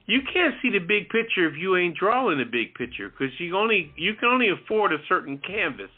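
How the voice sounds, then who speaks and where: A man's voice: high-pitched, very animated, a little fast, breathy edge. A man co-hosting a casual talk show discussion, posing rambling rhetorical questions. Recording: muffled, band-limited audio.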